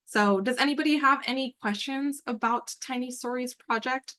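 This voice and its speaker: Female meeting presenter, medium pitch, conversational and upbeat, explaining step by step on an informal online call. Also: moderate pace, fairly bright tone. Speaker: meeting presenter and program coordinator. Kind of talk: informal work call presentation with screen walkthrough.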